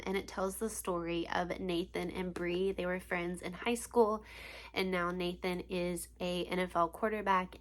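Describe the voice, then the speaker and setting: A woman's voice: fairly low pitch, fairly bright tone. A young woman who is congested and sniffly, chatting casually and gushing about books she read, with many ums and likes. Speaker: book vlogger. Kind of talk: casual book review chat.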